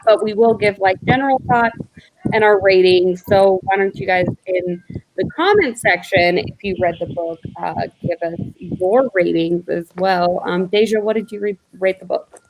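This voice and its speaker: A woman's voice: medium pitch, low-quality recording. A woman hosting a live book discussion, chatty and laughing often as she moderates. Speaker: host of the live show. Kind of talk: casual chat, moderating.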